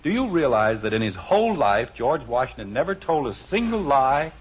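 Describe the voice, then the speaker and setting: A man's voice: medium pitch, very animated, normal speed, breathy edge. An American man acting a patient, dry, hesitant middle-aged father in a 1950s radio sitcom. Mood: gently scolding. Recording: dull old radio recording, faint noise.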